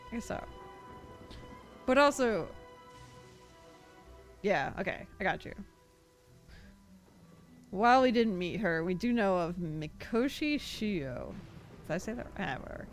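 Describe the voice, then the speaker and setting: A woman streaming a game, chatting casually with her viewers in a rambling, expressive way. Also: mid-range voice.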